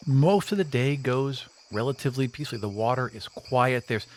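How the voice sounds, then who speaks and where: A man's voice: medium pitch, a little fast, slight background noise. Man narrating a jungle tabletop game. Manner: relaxed descriptive narration with casual asides. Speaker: game master.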